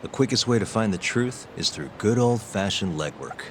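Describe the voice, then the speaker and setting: An actor playing a composed, confident lawyer turned private detective in a video game. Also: male voice, on the low side, animated, normal speed, slight background noise, lightly acted. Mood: focused. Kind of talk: English video game voice acting.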